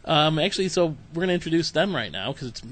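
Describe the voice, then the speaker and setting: Male host, casual chatter, pitched a bit high, easygoing questions. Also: fairly bright tone. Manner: casual, chatty, loose phrasing. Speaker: podcast host. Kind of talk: casual podcast interview.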